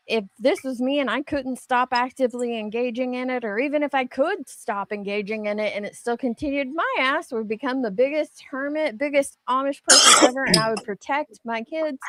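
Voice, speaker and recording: A woman's voice: on the high side, fairly bright tone, dry recording. A woman giving casual, candid commentary as an online show host, speaking emphatically and swearing mildly.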